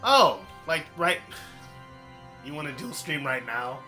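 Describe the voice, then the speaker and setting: A man's voice: fairly high pitch, very animated, slow pace, sharp tone, soft background music. A man hosting a stream and chatting with his team on a voice call, rambling and correcting himself as he goes. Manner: rambling, with fillers and self-corrections. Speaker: stream host. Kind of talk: casual group chat on a voice call. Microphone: voice chat microphone.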